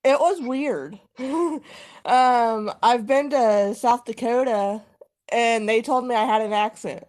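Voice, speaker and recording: A woman's voice: on the high side, slow pace, a little mellow. A woman in her thirties from Kansas with a slight Kansas accent, giving short, hesitant answers as a guest on a live stream. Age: 30s. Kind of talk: casual conversation.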